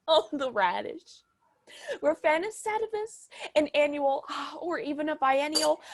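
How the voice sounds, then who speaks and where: A woman's voice: sits high, dry recording, clean studio sound. A woman playing a doting but overbearing mother in a stage comedy, gushing and reciting with pompous theatrical grandeur. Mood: indignant, rapturous.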